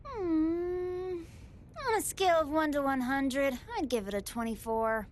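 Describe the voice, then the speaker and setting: A woman's voice: sits high, measured pace, a little hiss or hum. An actress playing a gluttonous, playfully menacing female android villain in an anime video game, with a taunting, mock-sweet delivery. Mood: smug, taunting.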